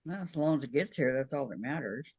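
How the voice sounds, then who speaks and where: A man co-hosting a quilting show, casually reading viewer comments aloud and answering in short, relaxed remarks. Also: mid-range voice, quick pace, slightly rough, dry recording.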